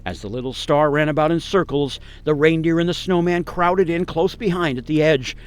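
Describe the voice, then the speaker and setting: A man's voice: on the high side, moderate pace, clean tone. A man narrating a scripted radio story in a theatrical, suspenseful, dramatic way.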